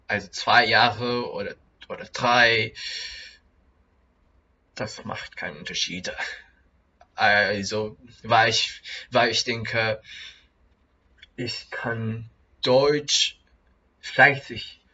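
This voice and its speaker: A man's voice: fairly low pitch, pushed and bright, muffled recording. A young man, not a native speaker, talking casually in a self-made video about why he is learning the language, hesitant with many restarts and fillers. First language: not German. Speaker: vlogger, German learner.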